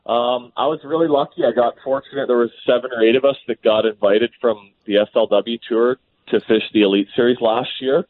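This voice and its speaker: A Canadian man in his thirties, hoarse and scratchy from a cold, talking casually and rambling a bit as a guest. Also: medium pitch, steady tone, brisk, dry recording. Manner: rambling, easygoing, restarts often. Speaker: professional bass angler, guest. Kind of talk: casual phone interview. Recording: tinny phone line.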